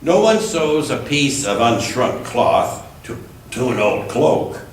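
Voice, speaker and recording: Echoey distant recording, man, church scripture reader, slow deliberate phrasing, expressive rise and fall. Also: mid-range voice. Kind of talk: scripture reading aloud. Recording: echoey room, distant mic, faint noise.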